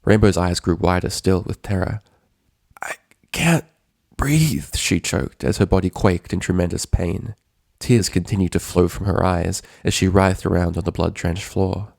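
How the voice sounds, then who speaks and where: A man reading a scripted story as narrator, in a measured, dramatic storytelling manner. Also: sits low, level tone, creaky, darkish tone, dry recording, clean studio sound.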